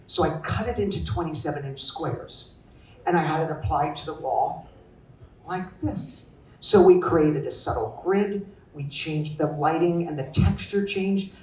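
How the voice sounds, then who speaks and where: A man's voice: fairly high pitch, roomy sound, far from the mic, poor audio. Man telling a story on a panel. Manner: animated storyteller acting out quotes. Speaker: designer on a panel.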